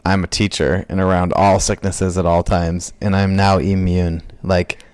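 A man in his late twenties co-hosts a podcast in easygoing, joking conversation. His voice sits fairly low, and the recording is dry and close. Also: little pitch movement, moderate pace, darkish tone, smooth, no echo. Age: 20s. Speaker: podcast co-host. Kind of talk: casual podcast chat.

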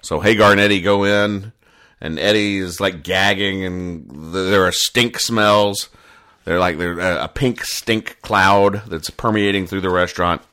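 Wry man with a lowish voice. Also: steady tone, measured pace, bright, forceful tone. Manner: mock-earnest, wry explaining of jokes. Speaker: podcast host. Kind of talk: casual comedic retelling of newspaper comics.

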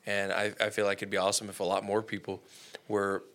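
A man in his thirties hosting a casual conversation, reflective and animated, his voice jumping higher when he gets excited. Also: quick pace.

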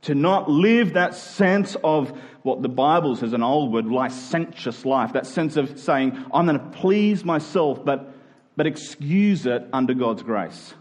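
Man preaching with very animated, swooping intonation. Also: fairly high pitch, measured pace. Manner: emphatic, rhetorical, acting out imagined objections. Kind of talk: conversational expository sermon.

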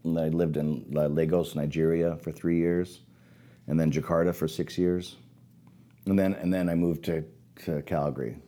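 A man's voice: rumbling low, level tone, moderate pace, mellow. A man in his forties who grew up in Canada, chatting casually as a guest, rambling with many restarts and fillers. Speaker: guest photographer. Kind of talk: casual podcast conversation.

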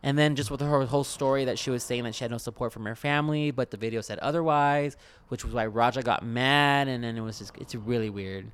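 A man's voice: medium pitch, a little fast, clean tone. A man chatting casually as a recap co-host, sassy and freely swearing, with slangy phrasing and bits of acted-out dialogue. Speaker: podcast co-host. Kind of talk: casual recap chat about a TV show.

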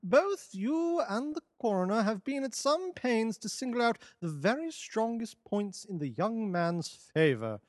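A man reading an audiobook, voicing a calm, brilliant detective who talks in a measured, lofty, amused way. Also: high-pitched, dry recording, studio quality, pitched above the performer's own voice. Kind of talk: audiobook character voice.

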